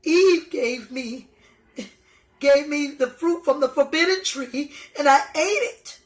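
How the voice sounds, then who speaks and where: A woman voicing a nervous, guilty man in a children's story, halting and repeating words. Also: high voice, pitched above the performer's own voice. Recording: echoey room.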